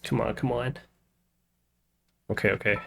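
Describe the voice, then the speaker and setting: A man's voice: mid-range voice, steady tone, a little slow, gravelly, sharp tone, a little hiss or hum. A young man streaming a game with casual chat, often repeating short phrases as he plays. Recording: game music behind voice. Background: video game music and effects.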